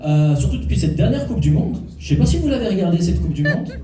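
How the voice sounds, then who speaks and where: A man's voice: high-pitched, very animated, fast talker, mellow. A man doing a stand-up routine on a club stage, teasing the crowd and working the audience. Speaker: stand-up comedian. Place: comedy club stage before a live audience. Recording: echoey room, from far off.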